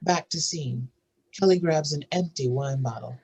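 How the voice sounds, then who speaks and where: A woman with a husky voice narrating screenplay stage directions in a scripted reading. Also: low-pitched, moderate pace, darkish tone.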